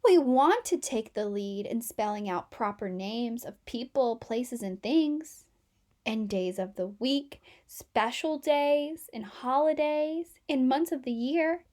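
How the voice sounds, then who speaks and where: Woman voicing dramatic, plaintive storybook capital letters, high and slow. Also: animated, darkish tone. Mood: proud, plaintive. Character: ailing, whimpering, self-important capital letters of the alphabet. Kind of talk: children's storybook read-aloud.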